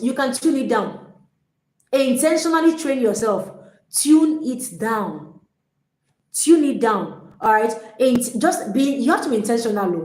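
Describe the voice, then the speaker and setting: A woman giving an energetic, emphatic motivational talk as a relationship coach, often repeating her points. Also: on the high side. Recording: echoey room.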